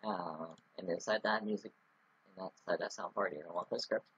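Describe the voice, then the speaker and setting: A man's voice: deep voice, steady tone, fast, a bit bright, slightly rough, highs cut off. A man talking through a casual screen-recorded coding tutorial, rambling with many ums and restarts. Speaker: scripting tutorial host.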